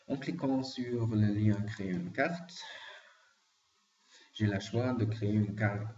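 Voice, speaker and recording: A man with a German accent speaking French, walking through a software tutorial with frequent hesitant fillers. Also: lowish voice. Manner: hesitant, frequent "euh" fillers, careful explaining. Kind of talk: screen-recorded software walkthrough. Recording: muffled lo-fi voice-over with faint hiss.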